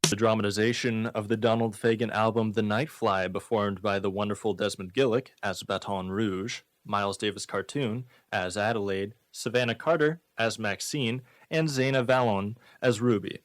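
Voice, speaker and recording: A man's voice: lowish voice, steady tone, moderate pace, hardly any pauses, no room sound. Dry-miked man hosting college radio. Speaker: student radio variety show host. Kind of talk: scripted radio announcing and interviewing.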